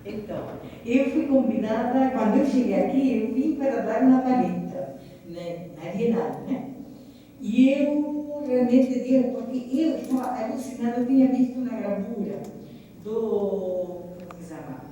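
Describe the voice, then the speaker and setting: A woman's voice: a little high, unhurried, dark tone, lo-fi sound, slight background noise. A woman from Argentina with an Argentine accent, an artist talking to an audience in rambling Portuguese mixed with Spanish. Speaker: guest artist. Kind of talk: conversational talk to an audience. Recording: echoey hall, mic far off.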